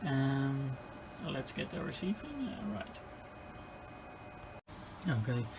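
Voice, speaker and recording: A man in his forties with a Dutch accent, repairing an old radio and thinking aloud step by step with hesitant pauses. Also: medium pitch, big pitch swings, noisy background. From Netherlands. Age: 40s. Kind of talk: hands-on repair vlog.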